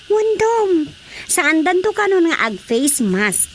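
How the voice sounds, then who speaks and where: A woman playing an expressive, gossipy wife in a radio drama comedy, with an Ilocano accent and an exclaiming delivery. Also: very high voice, slow pace, a little hiss or hum, exaggerated voice. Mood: animated gossip. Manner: animated, exclaiming.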